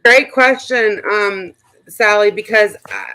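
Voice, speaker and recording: A woman's voice: mid-range voice, slow, pushed and bright, low-quality recording. A woman host in casual livestream commentary, speaking bluntly and swearing often.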